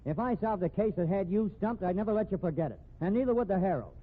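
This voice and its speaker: An actor with an American accent playing a cocky, needling young newspaper reporter, brash and taunting, in a 1950s radio drama. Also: male voice, sits high, animated, narrow, tinny audio. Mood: boastful. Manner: brash, taunting, quick-witted. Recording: thin, noisy 1950s radio recording.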